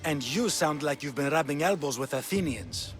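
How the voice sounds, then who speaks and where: A man's voice: a little high, expressive, normal speed, natural voice. An actor playing a sardonic, condescending Spartan king with theatrical delivery in a dramatic video game. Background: game music.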